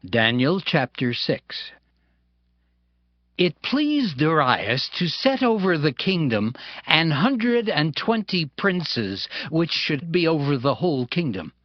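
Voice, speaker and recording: A man narrating scripture from a script in a solemn, deliberate way. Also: a little high, very animated, dry recording. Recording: dull lo-fi audio with music.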